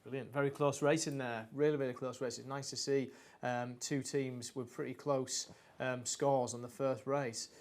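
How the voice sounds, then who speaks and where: A man's voice: mid-range voice, little pitch movement, moderate pace. Upbeat man hosting a race show. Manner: upbeat, links segments, some fillers. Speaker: presenter. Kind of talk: live event presenting.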